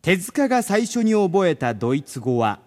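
High-voiced, very animated male radio co-host. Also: normal speed. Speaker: radio show co-host reading listener mail. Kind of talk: casual radio presenting and banter.

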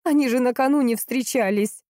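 A grieving, insistent woman from a detective audiobook, acted by a female narrator. She speaks emphatically and impatiently, pitched fairly high. The tone stays mellow and the sound dry. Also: dry recording, lightly acted. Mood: agitated, impatient. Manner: emphatic, impatient, drawn-out stresses. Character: distraught, insistent, hot-tempered grieving sister in a crime story.

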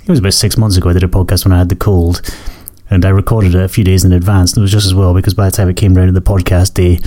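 A man hosting his own podcast, hoarse and croaky from a cold, chatting in a rambling way that keeps correcting itself. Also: sits low, little pitch movement, very fast, mellow. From Scotland. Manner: rambling, self-correcting, apologetic. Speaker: podcast host. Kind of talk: casual solo podcast chat.

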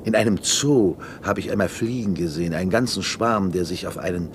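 An actor playing a wistful older deputy in a 1970s German science-fiction radio play, musing hesitantly with a touch of irony. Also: male voice, fairly quick, natural voice. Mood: wistful wonder. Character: wistful, wondering older male deputy with stalled career. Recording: noisy 1970s radio drama recording, rumble.

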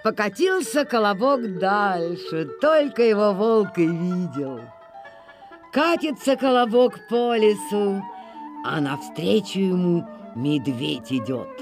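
A storyteller reading a folk tale for children in an unhurried, expressive way. The voice rises and falls a great deal. Music plays clearly underneath. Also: mid-range voice. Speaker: narrator. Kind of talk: storybook narration. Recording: music playing behind.

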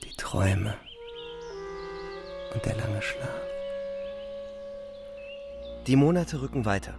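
A man playing a weary, despairing young German soldier, narrating gravely and deliberately in a literary radio play. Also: on the low side, animated, over loud music, heavily acted. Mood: weary, reflective. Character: twenty-year-old German soldier, weary, despairing, reflective.

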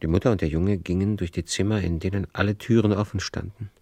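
A man narrating a literary radio drama in a measured, grave way of storytelling. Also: low-pitched, fairly even intonation, dark tone, no echo.

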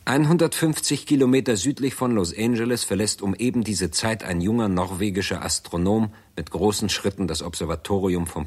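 A man narrating a 1960s German radio drama in a measured, documentary-like manner. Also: deep voice, husky.

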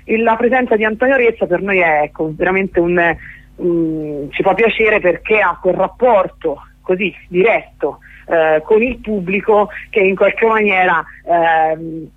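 A woman's voice: fairly low pitch, measured pace, no room sound. A woman speaking as a festival organiser in a radio interview, explaining at length and often searching for words. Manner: hesitant, searching for words, long-winded. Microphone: telephone. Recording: narrowband telephone line on radio.

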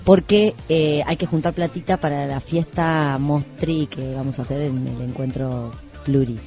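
A woman's voice: low voice, fairly even intonation, unhurried, warm tone, faint music behind. A woman with a Rioplatense Argentine accent co-hosting a casual radio chat, slangy and emphatic. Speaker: radio show co-host.

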